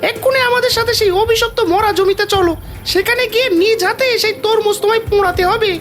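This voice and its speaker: A woman voicing an excitable, gullible and accusing villager in a cartoon. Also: extremely high pitch, fast. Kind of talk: Bengali cartoon voice acting.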